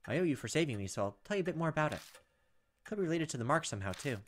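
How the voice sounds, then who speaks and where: A man's voice: a little high, sing-song, very fast, slight background noise. Man playing a brusque, skeptical woman. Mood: secretive. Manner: curt, defensive. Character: brusque skeptical woman, secretive, hiding her fear. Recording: music behind the voice.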